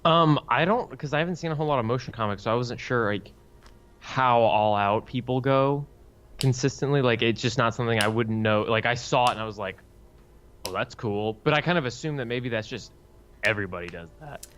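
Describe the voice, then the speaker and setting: A man's voice: medium pitch, brisk, fairly bright tone, slight background noise. A man co-hosting a podcast in casual chat, heard through dull, lo-fi audio. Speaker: podcast co-host.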